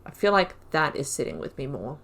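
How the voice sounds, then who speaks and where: Gaming host, female, lowish voice, talks her choices through out loud, casual and rambly. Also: very animated, brisk, creaky. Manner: thinks aloud, rambling, corrects herself mid-sentence; casual and chatty with slangy asides. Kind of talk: let's-play commentary.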